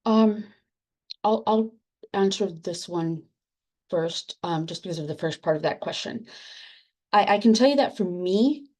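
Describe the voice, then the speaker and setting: A woman's voice: lowish voice, measured pace, pauses often, darkish tone. A woman whose first language is Spanish, answering a panel question earnestly on a video call, with many fillers and restarts. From immigrant, first generation. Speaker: book editor on a panel.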